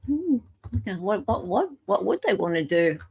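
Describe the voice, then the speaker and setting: An Australian woman hosting a casual live show, excitable and muttering to herself as she searches through documents. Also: mid-range voice, moderate pace, creaky, breathy edge. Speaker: podcast host. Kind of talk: casual live chat while reading documents.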